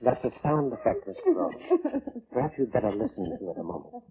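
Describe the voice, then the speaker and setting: An actor playing a coolly polite, insistent coroner in a 1940s radio drama, speaking formally with sinister, needling courtesy. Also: male voice, on the high side, wildly swooping pitch, brisk, creaky, husky, exaggerated voice. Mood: mock courteous. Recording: narrow, tinny, noisy old radio broadcast.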